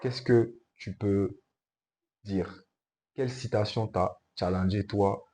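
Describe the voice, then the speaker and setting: A man hosting a casual interview, chatty and eager, often chiming in with quick agreement while his guest talks. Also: on the low side, steady tone, dark tone. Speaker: host.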